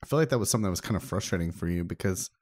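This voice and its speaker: Male co-host, low voice, casual conversational podcast delivery, dry sound. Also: lively intonation, very fast, darkish tone, no room sound.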